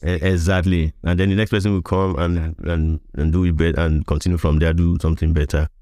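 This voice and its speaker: Male interview guest, Nigerian accent, low register, conversational with emphatic repetition, dry close recording. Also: little pitch movement, a little fast, dark tone, no echo. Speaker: podcast guest, veteran voiceover artist.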